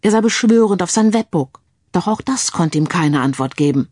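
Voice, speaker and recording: A woman's voice: on the low side, lively intonation, normal speed, a little mellow, no room sound, studio quality. A woman reading a scripted crime story as an audiobook narrator.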